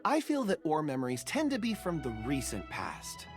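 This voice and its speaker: A man voicing a mild-mannered, thoughtful stone expert in a video game, measured and a little hesitant. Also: fairly high pitch, huge pitch swings. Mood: reflective. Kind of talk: video game character acting. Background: game music.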